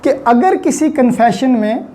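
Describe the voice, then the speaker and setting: A man's voice: very high voice, expressive, a little slow, mellow. A man with a Pakistani accent giving an explanatory law lecture in a didactic way, repeating key points and mixing in English terms.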